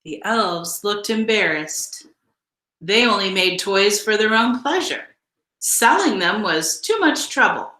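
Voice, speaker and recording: A woman reading a children's picture book aloud in an expressive, deliberate storytelling style. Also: medium pitch, reverberant. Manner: measured, deliberate storytelling delivery with emphasized phrases. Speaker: storybook reader.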